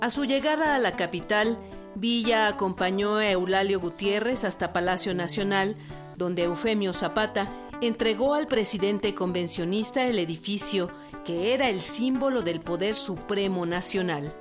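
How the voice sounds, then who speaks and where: A woman's voice: mid-range voice, normal speed. A woman reading scripted historical narration for a radio drama. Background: music with guitar.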